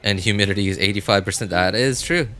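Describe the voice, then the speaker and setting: Bright-voiced man chatting casually on stream. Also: on the low side, fast talker, faint music behind. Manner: informal, rambling, repeats phrases for emphasis. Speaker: live game streamer. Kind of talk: casual chat with stream viewers.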